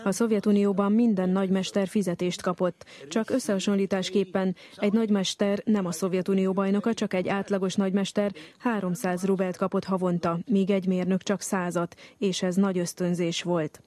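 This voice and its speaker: A woman reading scripted documentary narration in an even, measured way. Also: mid-range voice.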